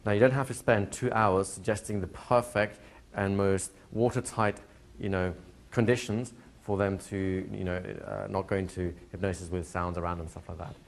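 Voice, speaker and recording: A man teaching a hypnotherapy class to a live group, explaining plainly and slipping into slow, soothing hypnotic patter for demonstrations. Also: sits low, steady tone, gravelly. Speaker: hypnosis trainer. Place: training room with a live audience.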